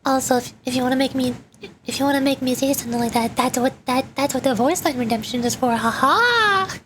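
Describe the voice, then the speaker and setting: A woman livestreamer chatting casually with her viewers in a playful, animated, bantering way. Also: sits high, brisk, slight background noise. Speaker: VTuber livestreamer. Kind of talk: casual chat with stream viewers.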